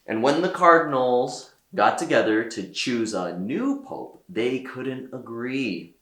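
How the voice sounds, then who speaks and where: A man reading a children's story aloud as a storyteller, with theatrical delivery and deliberate pauses. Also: mid-range voice, expressive, a bit bright, echoey room. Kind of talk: expressive read-aloud narration for children.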